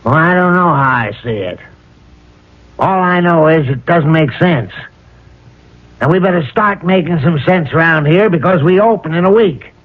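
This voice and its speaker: Man as a high-pitched, blustery American father. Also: normal speed, lightly acted. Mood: irritated. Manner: blunt, emphatic. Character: blustery, stubborn, skeptical American businessman father. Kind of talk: radio drama acting. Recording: muffled, lo-fi old radio recording.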